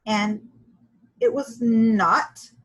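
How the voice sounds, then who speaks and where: A woman reading a children's story aloud in an animated, expressive way with drawn-out emphasis. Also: a little high, husky, poor audio. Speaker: storybook reader.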